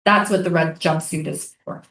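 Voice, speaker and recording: A woman playing a conflicted, defiant prison guard in a theatrical staged reading, earnest and measured. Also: sits low, steady tone, creaky, a bit bright. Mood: earnest, troubled. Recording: echoey stage, distant microphone.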